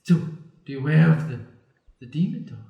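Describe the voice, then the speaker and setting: Casting: male improviser as a nervous, faltering son with a high voice, melodramatic, in a roomy live radio play. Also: big pitch swings, vocal fry, muffled recording. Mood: dramatic, wary. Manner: halting, stammering, dramatic. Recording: roomy, echoing live room.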